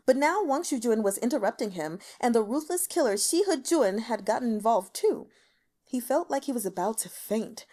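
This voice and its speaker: A woman reading a novel aloud as an expressive storyteller. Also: a little high. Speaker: audiobook reader. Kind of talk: expressive scripted story narration.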